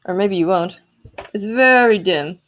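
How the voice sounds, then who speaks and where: A woman's voice: medium pitch, a little slow, fairly bright tone. Enthusiastic woman explaining electronics live. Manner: enthusiastic, exclaiming, chatty. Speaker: host of an electronics prototyping livestream. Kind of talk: casual live demo, explaining hardware.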